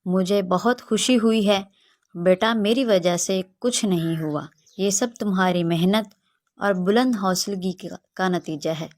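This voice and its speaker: A woman's voice: medium pitch, natural voice. A woman voicing a kindly, encouraging village schoolteacher who gives earnest advice in a children's moral story. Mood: proud.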